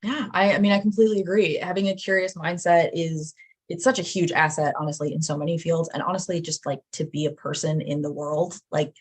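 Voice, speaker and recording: A woman's voice: fairly low pitch, fairly even intonation, a little fast. A woman from the United States hosting a casual interview, chatty and full of "you know" and "like". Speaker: host.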